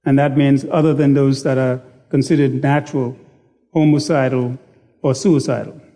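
A man preaching a sermon in a slow, deliberate, self-deprecating manner. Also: mid-range voice, flat delivery, warm tone. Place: church sanctuary.

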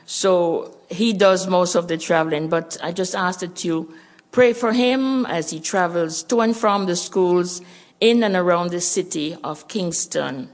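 Slow-speaking Jamaican woman missionary. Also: lowish voice. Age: older. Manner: earnest and testimonial. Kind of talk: church missions report.

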